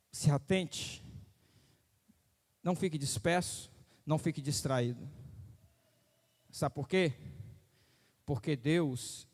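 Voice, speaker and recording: A man's voice: fairly high pitch, a little mellow, big-room sound. A man preaching a sermon, emphatic and repetitive, often asking the listeners whether they follow him. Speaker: pastor.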